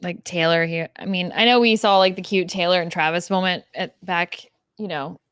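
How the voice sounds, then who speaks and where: A woman's voice: fairly low pitch, fairly even intonation, normal speed, fairly bright tone, smooth, no echo, clean studio sound. A woman co-hosting a casual podcast over a video call, with wry, self-deprecating humor and playful asides. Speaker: podcast co-host. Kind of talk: casual chat. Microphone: video call setup.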